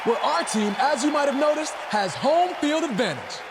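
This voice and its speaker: A man playing an excitable, chatty, goofy pro wrestler who rambles eagerly in a video game cutscene. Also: very high voice, moderate pace, a crowd in the background, background noise. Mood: boastful.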